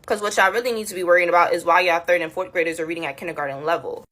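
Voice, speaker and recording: A woman's voice: lowish voice, steady tone, very fast, fairly bright tone. A young woman on a casual rant, defensive and exasperated as she argues back.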